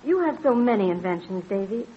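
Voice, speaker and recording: A woman's voice: medium pitch, animated, a little fast, slight background noise, natural voice. A woman playing a practical, skeptical but fond colonial inventor's wife in an old-time radio drama, with a homely, teasing manner. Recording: thin, phone-like vintage radio recording.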